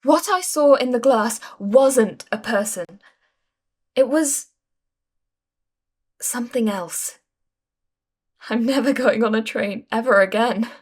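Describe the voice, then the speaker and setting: Casting: actress as shaken young female caller, fairly high voice, dramatic and deliberate, telling an eerie personal tale for radio drama. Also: darkish tone, husky. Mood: frightened, tense. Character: shaken young woman caller recounting an eerie train encounter. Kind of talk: suspenseful scripted ghost storytelling.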